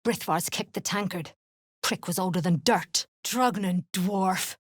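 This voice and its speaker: An actress playing a tough, foul-mouthed duergar mercenary in a fantasy game, blunt and menacing in manner. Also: female voice, lowish voice, measured pace, husky, no echo, studio quality. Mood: surly, blunt. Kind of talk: fantasy game character acting.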